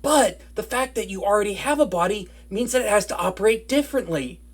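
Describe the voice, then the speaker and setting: A woman playing a nervous, smitten young wizard in an intimate roleplay audio drama, with a stammering, excitable and bookish way of talking. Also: mid-range voice, fairly quick, husky. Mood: musing. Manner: flustered stammering, hesitant, breathless enthusiasm.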